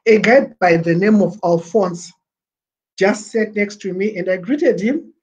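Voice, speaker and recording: A Zimbabwean man in his forties talking on a webcam livestream, animated and gesturing, slipping Shona words into his English. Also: high-pitched, a little slow, breathy edge, dull audio. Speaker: livestream presenter. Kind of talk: casual livestream pitch. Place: small plain room indoors. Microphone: webcam at desk.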